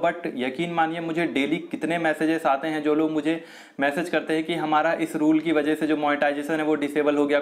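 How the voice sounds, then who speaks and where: Higher-pitched young man explaining. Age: 20s. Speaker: tech YouTube host. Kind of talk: explainer to camera. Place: small room indoors.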